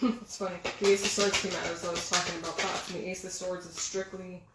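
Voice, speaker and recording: A woman giving a casual, excitable tarot reading, chatting and musing aloud with many fillers. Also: fairly low pitch, fast talker. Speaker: tarot reader. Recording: roomy with faint background noise.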